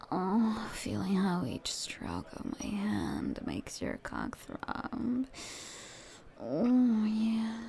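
A woman speaking in a soft, intimate, whispered roleplay, soothing and coaxing, with pet names and murmured hums. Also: mid-range voice, gravelly.

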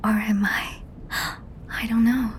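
A young woman hosting a whispered, ASMR-style chat podcast, rambling softly with giggles, her voice strained and cracked. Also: on the high side, little pitch movement, takes it slowly, a little hiss or hum. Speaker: podcast host and audio creator. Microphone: high-end studio microphone.

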